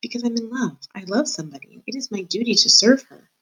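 A woman's voice: mid-range voice, very animated, normal speed, darkish tone, slightly rough, pitched above the performer's own voice, poor audio. A woman voicing a tiny, earnest young male mouse who speaks in a timid, pleading way.

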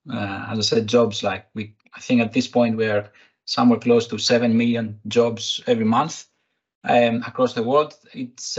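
A man with a Greek accent, a recruitment technology executive answering interview questions in a halting way, full of fillers and restarts. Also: mid-range voice, vocal fry, husky, dull audio. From Greece. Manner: hesitant, many fillers and restarts.

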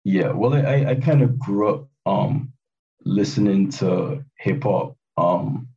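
A man's voice: on the low side, slow pace, dark tone. A man in his late twenties from Barbados, a hip hop producer chatting casually as a guest, hesitant with many fillers and restarts. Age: 20s. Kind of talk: casual interview chat. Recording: dull, distant lo-fi call audio.

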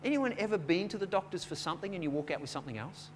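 High-voiced male preacher telling stories. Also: animated, rapid-fire, a little hiss or hum. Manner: conversational, repeats words, acts out dialogue; builds from quiet chat to louder preaching. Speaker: pastor. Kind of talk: sermon with personal storytelling.

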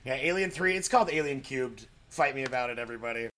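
A man's voice: medium pitch, lively intonation, fast, fairly bright tone. A man bantering casually as a podcast guest, with a joking, playful manner. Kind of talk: casual chat. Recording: faint background noise.

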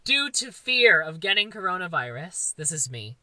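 A young man with a very high voice, co-hosting a casual chat and joking in a campy, playfully dramatic way. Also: very animated, moderate pace, pushed and bright, dry recording. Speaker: podcast co-host.

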